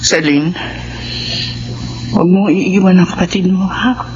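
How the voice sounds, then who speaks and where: A woman's voice: fairly low pitch, measured pace, noisy background, close to an everyday voice. An actress playing a betrayed mother in a radio drama, pleading yet firm. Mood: gentle. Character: adult mother, betrayed wife, firm and sorrowful. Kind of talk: Filipino radio drama acting. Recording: muffled radio drama with music underneath.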